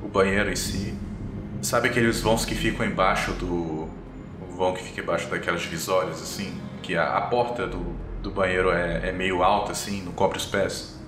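A man's voice: low-pitched, steady tone, fairly quick. A man with a Brazilian accent improvising tabletop RPG narration as game master, setting scenes piece by piece. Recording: roomy echo, music underneath. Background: background music.

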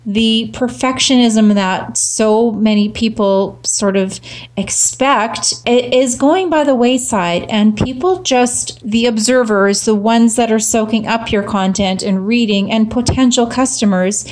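A woman hosting a social media marketing show, talking casually and emphatically, her voice slightly rough from cheering. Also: a little high, a little slow, darkish tone. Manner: upbeat, emphatic repetition. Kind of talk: casual solo talk to camera.

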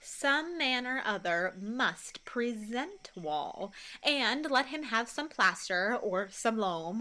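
A woman's voice: mid-range voice, bright, forceful tone, full character voice, poor audio. A woman playing a bossy, boastful male weaver in a Shakespeare radio play, with theatrical, self-important delivery. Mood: helpful.

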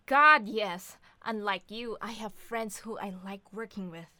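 A woman playing a sharp-tongued, bossy wife and mother who teases bluntly in a scripted audio comedy drama. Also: mid-range voice, unhurried, dry recording. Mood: playful sarcasm. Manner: sarcastic, bantering, blunt.

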